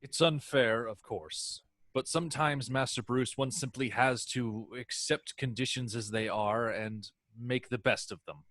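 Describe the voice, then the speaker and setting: A man plays a gentle, dutiful butler with a sad, formal manner. He speaks slowly and with measured care, close and dry in sound. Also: mid-range voice, steady tone, no echo, close to an everyday voice. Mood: gentle consoling. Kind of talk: script table read.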